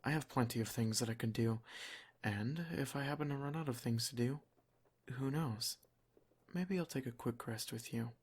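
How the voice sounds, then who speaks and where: Male vampire lord for ASMR roleplay, acted by a man: soft-spoken, possessive and protective, medium pitch, measured and gently commanding. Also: slightly rough, natural voice. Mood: soothing. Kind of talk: ASMR roleplay, scripted intimate monologue.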